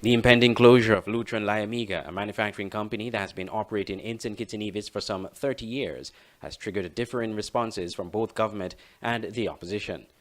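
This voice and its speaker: A man's voice: lowish voice, steady tone, fast talker, few pauses. A man reading scripted news narration as a broadcast anchor. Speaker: news anchor and advertisement announcer.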